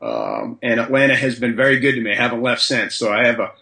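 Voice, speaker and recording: A man's voice: mid-range voice, very animated, quick pace, gravelly, pushed and bright. A man with a mixed Boston and Southern US accent, an interview guest speaking conversationally and humbly. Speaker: high school strength coach.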